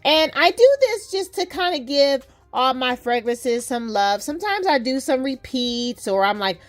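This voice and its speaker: A woman vlogging about fragrances in a lively, chatty way, using playful slang. She says her nose is a little stuffy. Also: high voice, a little slow. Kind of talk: casual chat to camera.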